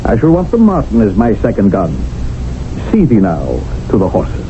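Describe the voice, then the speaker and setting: A man's voice: lowish voice, huge pitch swings, normal speed, music in the background. A man in his forties playing a wry, clipped, upper-class British colonial official in a 1970s radio drama. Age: 40s. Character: dry, sardonic British colonial official of forty. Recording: muffled old radio broadcast with faint hiss.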